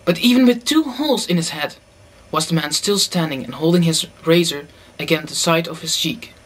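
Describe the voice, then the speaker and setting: Casting: male narrator, notably high voice, scripted storytelling for audio drama. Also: normal speed, fairly bright tone, husky, rough recording. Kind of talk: scripted radio play narration.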